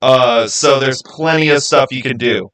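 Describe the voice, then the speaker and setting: A man hosting a livestream, answering viewers in casual, halting speech and thinking out loud. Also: medium pitch. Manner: halting, thinking aloud with repeated phrases. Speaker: livestream host.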